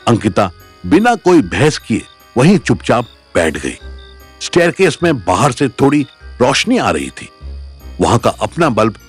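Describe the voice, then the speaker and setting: A man's voice: mid-range voice, sing-song, a little slow, husky. A man narrating a scripted audio story in a dramatic storytelling style. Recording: music under the narration.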